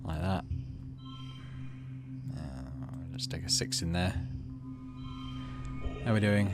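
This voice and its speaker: A man's voice: low-pitched, takes it slowly, loud music behind the voice. A man giving casual let's play commentary, thinking aloud through his choices and reading out game text. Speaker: gaming video host.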